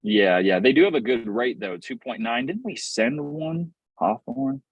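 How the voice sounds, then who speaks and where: A man's voice: mid-range voice, animated, a little slow, breathy edge, no room sound, muffled recording. A man hosting a live real estate workshop on a video call, upbeat and hyping up his audience. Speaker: real estate investing host.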